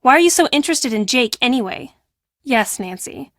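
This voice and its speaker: A woman playing a brash, defensive teenage girl who works as a high school hall monitor in a video game, with a sassy, dismissive and emphatic delivery. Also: lively intonation, moderate pace, studio quality. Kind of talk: acted video game dialogue.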